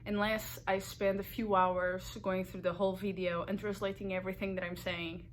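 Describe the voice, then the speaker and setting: A young woman with a Portuguese accent, hosting a knitting video podcast. She chats casually to the camera, unhurried, often using filler words and correcting herself. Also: medium pitch, little pitch movement, slight background noise.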